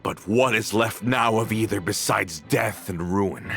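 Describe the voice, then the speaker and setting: An actor playing a bitter, vengeful former mafia member in a video game, with theatrical, accusing delivery that dwells on each phrase. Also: male voice, fairly low pitch, measured pace, gravelly, a bit bright, faint music behind. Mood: furious grief. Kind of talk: dramatic video game voice acting.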